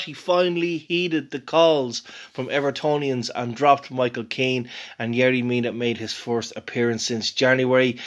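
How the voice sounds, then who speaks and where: An enthusiastic man from Ireland hosting a football fan podcast. He talks in a chatty, casual way at a medium pitch and keeps chuckling as he goes. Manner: enthusiastic, chatty, laughs while talking. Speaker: podcast host. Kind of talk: casual podcast match reaction.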